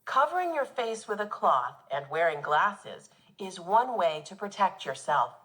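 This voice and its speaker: A woman teacher reading a nonfiction children's book aloud in an expressive, instructive way for young pupils. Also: on the low side, unhurried.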